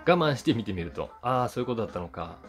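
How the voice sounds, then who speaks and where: A man's voice: lowish voice, sing-song, very fast. A man in his forties chatting casually to camera as he reviews a film, conversational and emphatic. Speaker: YouTube host reviewing films, voice actor. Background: background guitar music.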